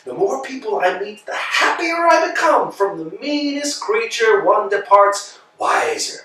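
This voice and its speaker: A man doing a grandiose, self-important landowner who lords it over everyone, his voice leaping from booming commands down to fussy, muttered asides. The pitch sits a touch high and swoops widely. It sounds recorded from across a bare, echoey room. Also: big pitch swings, measured pace, bright, forceful tone, heavily acted. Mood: pompous. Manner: grandiose, theatrical, swings between bluster and murmurs. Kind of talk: staged play reading. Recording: echoey room, mic far off.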